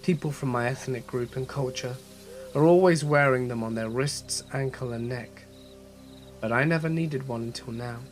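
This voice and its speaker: A man narrating horror stories as a channel host, reading in a measured, steady way with a voice slightly off from a cold. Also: medium pitch, soft background music. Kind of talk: scripted horror story narration.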